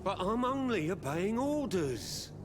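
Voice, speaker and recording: A man's voice: sits high, big pitch swings, slow, noisy background, heavily acted. A man playing a servile yet smug, cowardly merchant's clerk in a fantasy video game. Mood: smug, defiant. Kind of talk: scripted video game acting.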